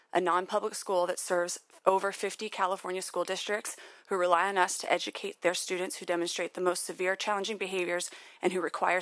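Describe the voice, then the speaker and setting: Woman testifying, low flat voice w some gravel. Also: no echo. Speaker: school principal and psychologist testifying. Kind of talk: formal testimony.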